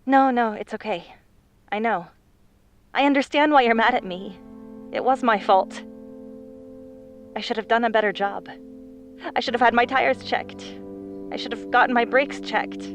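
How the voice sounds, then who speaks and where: A man's voice: very high-pitched, a bit bright, faint music behind, pitched above the performer's own voice. A man voicing an anxious, rambling young woman. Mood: apologetic. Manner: rushed, apologetic, rambling.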